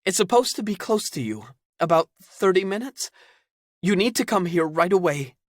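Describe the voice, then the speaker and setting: A man voicing a breathless woman whose voice is scratchy from crying as she gently but firmly presses urgent news. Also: lowish voice, lively intonation, normal speed, dry recording, studio quality, pitched above the performer's own voice. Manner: gently insistent.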